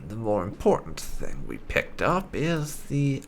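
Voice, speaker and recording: A man's voice: mid-range voice, huge pitch swings, unhurried, creaky, a little hiss or hum. A man giving casual commentary on his playthrough as a gaming channel host.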